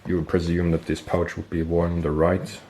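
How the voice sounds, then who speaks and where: A man with a stuffy nose, casually explaining military gear on camera, relaxed and thinking aloud with fillers and self-corrections. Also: low-pitched, a little fast. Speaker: military gear collector and video host. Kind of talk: casual show-and-tell explanation.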